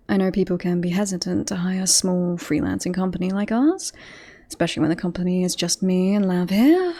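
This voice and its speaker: A woman playing a devoted bodyguard in an ASMR roleplay, politely reassuring and professional on the surface, with an obsessive streak slipping into hushed intimacy. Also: mid-range voice, lightly acted. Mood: earnest, reassuring. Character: devoted yandere bodyguard woman, composed front over creepy obsession.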